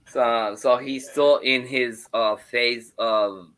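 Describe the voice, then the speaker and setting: A man's voice: medium pitch, steady tone, takes it slowly, a bit bright, low-quality recording. A man, chatting as a guest on a livestream, rambling with fillers and restarts. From Hamilton, Ontario, Canada. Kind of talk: casual chat on a livestream.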